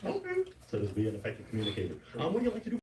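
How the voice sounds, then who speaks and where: A man hosting a dating show in a lively, playful way. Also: racing. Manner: animated, playful, big pitch swings. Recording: roomy, distant mic with noise.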